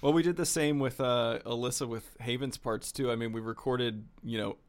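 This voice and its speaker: Laid-back guy running the interview, mid voice, quick yeps and laughs. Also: medium pitch, fairly even intonation. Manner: easygoing, quick agreements, laughs. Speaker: podcast co-creator, interviewer. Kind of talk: casual interview chat.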